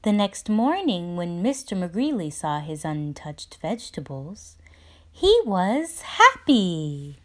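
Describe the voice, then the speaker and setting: Very slow, animated woman storyteller. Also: lowish voice. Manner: dramatic, with drawn-out emphasis and hushed sound words. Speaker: read-aloud storyteller. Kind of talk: expressive children's picture book reading.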